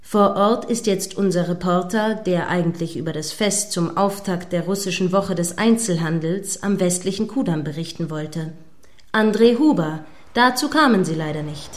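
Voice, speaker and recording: A woman playing an earnest radio news anchor in a radio play, with a formal, measured way of questioning. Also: fairly low pitch, natural voice. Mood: earnest, probing. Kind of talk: satirical radio play as live news broadcast.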